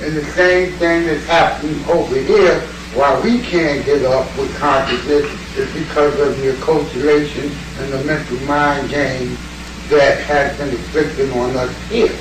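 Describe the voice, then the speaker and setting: A man's voice: a little high, steady tone. A man from the audience speaking in an earnest, searching way during a Q&A in a lecture hall. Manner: slow, earnest, searching for words. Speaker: audience member asking a question. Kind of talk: Q&A comment from the floor. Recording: echoey hall, distant mic, noisy.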